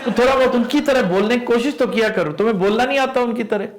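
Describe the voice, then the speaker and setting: A man's voice: very high voice, quick pace, roomy sound, a little hiss or hum. A man hosting a comedy talk show, prodding his guests with playful questions. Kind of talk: comic talk show banter.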